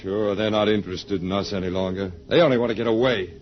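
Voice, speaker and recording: A man's voice: on the low side, fairly even intonation, fast, lightly acted. An actor playing a weary, brave, wry survivor, the last man on Earth, in an understated old radio drama style. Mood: relieved, wry. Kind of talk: 1950s radio drama acting. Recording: dull, hissy old radio recording.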